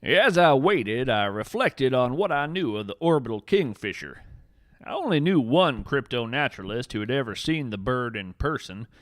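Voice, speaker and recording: A man playing a whimsical, earnest veteran naturalist adventurer, narrating a scripted fiction podcast with theatrical, deliberate phrasing. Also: medium pitch, animated, a bit bright, no room sound, clean studio sound, natural voice. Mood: wry, storytelling.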